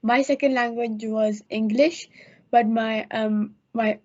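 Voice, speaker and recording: A teenage student whose first language is Urdu, speaking haltingly and searching for words on a video call. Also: female voice, fairly high pitch, fairly even intonation, darkish tone, slight background noise. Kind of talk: casual video-call discussion. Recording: dull lo-fi laptop audio.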